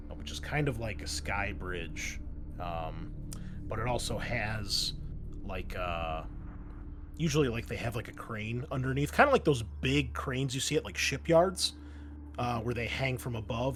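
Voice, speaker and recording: Low-voiced man narrating as game master. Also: background noise. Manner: conversational, halting descriptions. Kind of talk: improvised tabletop game narration.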